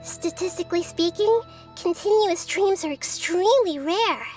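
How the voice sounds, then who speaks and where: A woman voicing a small, curious childlike goddess in a video game, soft and thoughtful in manner. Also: extremely high pitch, moderate pace, faint music behind. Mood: gentle. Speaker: game character.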